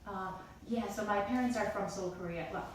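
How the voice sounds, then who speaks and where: A woman's voice: mid-range voice, brisk, far from the mic, a little hiss or hum. A woman teaching a class, encouraging and hesitant, with many fillers. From United States, East Coast. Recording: echoey classroom recorded from afar.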